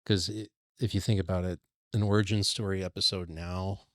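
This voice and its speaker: Deep-voiced man in casual discussion. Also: steady tone, fairly quick, a bit bright, dry recording, studio quality. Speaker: podcast panelist. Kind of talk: casual chat.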